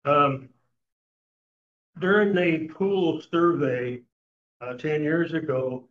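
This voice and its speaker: Older man, citizen at a meeting, halting, lots of fillers, muffled recording. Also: on the high side, steady tone. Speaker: citizen giving public comment. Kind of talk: public comment at a meeting. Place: meeting room.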